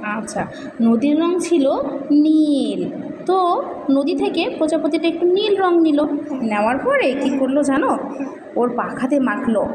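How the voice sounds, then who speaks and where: A woman's voice: high-pitched, lively intonation, unhurried. A woman telling a children's story interactively, coaxing a small child with repeated phrases and questions. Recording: echoey reverberant room with background noise.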